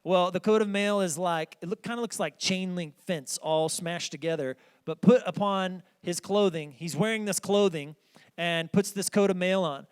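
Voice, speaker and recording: A man preaching a sermon with a small, squeaky voice, telling the story in an earnest, conversational way with lots of "like". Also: moderate pace, clear, resonant tone.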